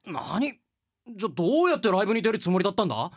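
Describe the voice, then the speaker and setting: A man's voice: high voice, big pitch swings, racing, heavily acted. A man playing an earnest, exasperated teenage music student in a radio comedy drama, narrating and making emphatic retorts. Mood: agitated.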